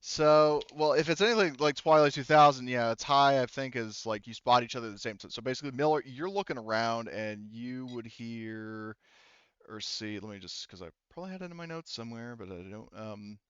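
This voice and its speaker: A man running a tabletop game as game master, casually improvising narration in a halting, sweary way as he thinks aloud into a headset. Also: mid-range voice, smooth, no room sound, highs cut off. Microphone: headset mic.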